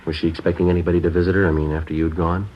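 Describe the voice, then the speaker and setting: Man voicing a deep-voiced terse detective, fast clipped delivery, hissy lo-fi old radio sound. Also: little pitch movement, lightly acted. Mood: gentle, patient. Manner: clipped, level, gentle with the boy. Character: terse male homicide detective, calm, matter-of-fact. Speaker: police detective sergeant. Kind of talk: 1950s radio drama acting. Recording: dull lo-fi old radio broadcast with hiss.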